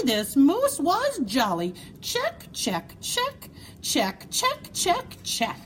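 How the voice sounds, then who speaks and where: A woman reading a children's story aloud in an expressive, playful way, with dramatic emphasis and drawn-out words. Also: on the high side, slight background noise. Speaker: storybook reader.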